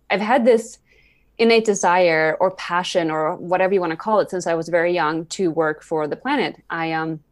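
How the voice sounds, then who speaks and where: A woman from Sweden talking casually and anecdotally as a guest on a podcast. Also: fairly low pitch. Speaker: podcast guest, climate activist and speaker. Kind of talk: casual, anecdotal interview talk.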